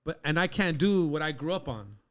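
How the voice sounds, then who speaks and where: Middle-aged man, slightly high voice, smooth and resonant, streetwise, profane, casual interview talk. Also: expressive, a little fast. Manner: profane, streetwise, repeats "you know what I'm saying". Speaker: guest rapper.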